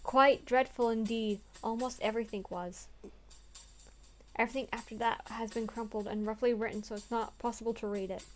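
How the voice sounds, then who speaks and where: A woman's voice: fairly high pitch, fairly even intonation, fairly quick, faint music behind. A young woman playing through a story game, reading the text aloud earnestly and breaking off into crude, swearing jokes.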